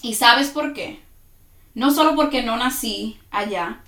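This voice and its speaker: A woman with a Dominican accent talks casually to her listeners as a podcast host. Her voice sits a little high, and she laughs often from nerves. The room adds a clear echo to her voice. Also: slow, sharp tone. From born in New York, raised in the Dominican Republic. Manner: nervous, laughs often while talking. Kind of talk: casual personal monologue.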